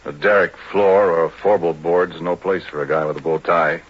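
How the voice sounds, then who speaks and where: On a dull vintage radio recording, an aging oil-field roughneck with a very low, husky male voice. He spins his story in folksy, colloquial speech, confiding straight to the listener. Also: fairly even intonation, a little fast. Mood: folksy reminiscing. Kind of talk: 1940s radio drama monologue, conversational.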